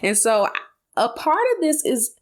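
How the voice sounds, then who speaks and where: A woman's voice: on the high side, animated, a little slow. A woman co-hosting a podcast, telling stories in a casual, conversational way with colloquial asides. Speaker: podcast co-host.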